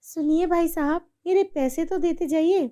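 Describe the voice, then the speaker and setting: A woman playing an earnest, polite young working woman in an animated moral story. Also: extremely high pitch, normal speed. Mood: polite, pleading. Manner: pleading, polite.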